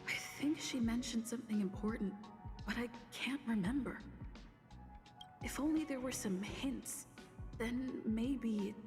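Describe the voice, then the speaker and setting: An actress playing an earnest, hazy young heroine in a video game, musing to herself. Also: female voice, fairly high pitch, a little slow, pauses often. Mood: puzzled, musing. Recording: reverberant, music underneath.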